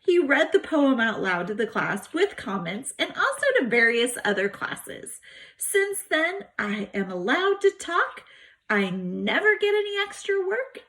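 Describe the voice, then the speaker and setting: A woman reading a diary aloud to students as a teacher, with expressive, emphatic storytelling phrasing. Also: high-pitched, a little slow. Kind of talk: animated read-aloud of a diary book.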